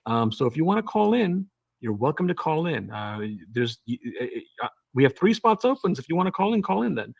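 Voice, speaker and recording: A man's voice: on the high side, sing-song, fairly quick, creaky, husky, no room sound. A man hosting a call-in live stream, arguing in a casual way and repeating himself, often saying "man". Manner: argumentative, repeats words and says "man" a lot. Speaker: livestream host.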